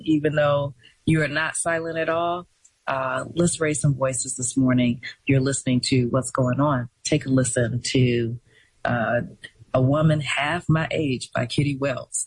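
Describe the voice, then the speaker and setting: Breathy, unhurried radio host woman. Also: extremely low pitch, no room sound, poor audio. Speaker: radio talk show host. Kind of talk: call-in radio talk.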